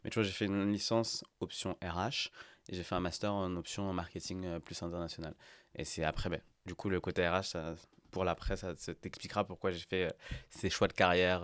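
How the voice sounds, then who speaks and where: A man in his thirties with a Parisian accent talks through his background in a relaxed, chatty way, often laughing and pausing on fillers. His voice sits very low. The sound is dry and close, with no room in it. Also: dry recording. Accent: Parisian French. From France. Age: 30s. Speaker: guest, restaurant group director. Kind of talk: casual podcast interview.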